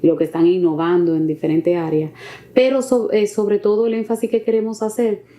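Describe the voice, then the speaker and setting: Woman talk show host, dark mellow voice, unscripted, kinda halting delivery. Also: medium pitch, flat delivery. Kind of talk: unscripted interviewing.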